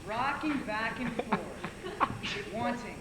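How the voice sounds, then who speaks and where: A man's voice: very high voice, slow, a loud crowd. A young man playing a gloomy, sardonic oddball in a stage comedy, with a theatrical delivery. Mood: odd, theatrical. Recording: echoey noisy hall from far off, loud audience.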